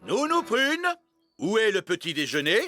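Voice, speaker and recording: A man's voice: high voice, huge pitch swings, moderate pace, sharp tone, faint music behind, heavily acted. A man voicing a grumpy, demanding fairy king in a cartoon, speaking in an imperious, impatient way.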